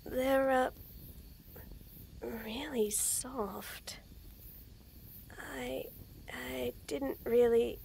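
A young woman in a scripted roleplay audio, talking to the listener with nervous stammers, frequent giggles and soft whispers. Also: sits high, fairly even intonation, very slow, gravelly, long pauses, slight background noise. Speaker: voice actress in a girlfriend audio.

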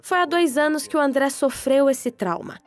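A woman reporter reading a scripted voice-over narration in a calm, measured way. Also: high-pitched, faint music behind.

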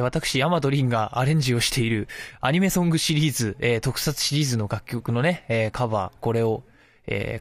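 A man's voice: medium pitch, no echo. A man of about thirty chatting casually as co-host of a podcast. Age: around 30. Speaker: podcast co-host and music arranger. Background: prominent music behind the talk.

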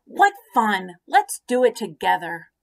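A woman voicing an eager, innocent young male polliwog in a storybook, excitable and full of curiosity. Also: mid-range voice, unhurried, vocal fry. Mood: excited. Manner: excitable, wide-eyed delivery.

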